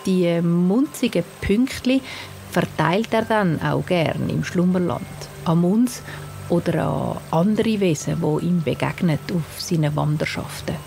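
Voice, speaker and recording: Woman, Swiss German accent, gravelly edge, gentle bedtime narration, faint music behind. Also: fairly low pitch, expressive. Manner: slow, gentle, soothing delivery. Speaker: author and narrator of a children's bedtime story. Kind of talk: scripted bedtime story narration for children. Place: studio. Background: soft music and sound design.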